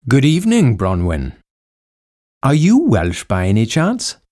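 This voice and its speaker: A man reading lines of English course dialogues in an expressive, sing-song way. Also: mid-range voice, measured pace. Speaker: dialogue reader in a language course. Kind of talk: slow, clearly read textbook dialogue.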